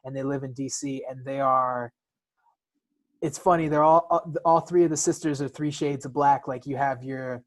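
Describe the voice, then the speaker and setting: Male podcast co-host, mid pitch, casual and reflective, halting delivery with repeated words. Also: steady tone. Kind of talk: casual personal conversation.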